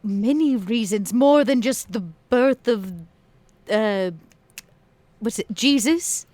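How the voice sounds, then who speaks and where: A woman's voice: on the high side, slow pace, a little mellow, dry recording. A woman playing a tabletop role-playing game, speaking in character with a teasing, flirtatious manner. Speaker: player.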